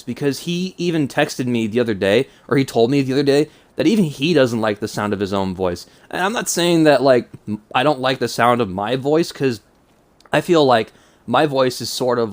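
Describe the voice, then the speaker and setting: A man of twenty-two with a mild cold and stuffed-up nose, talking casually and self-consciously in a hesitant, rambling vlog. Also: mid-range voice. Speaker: YouTuber.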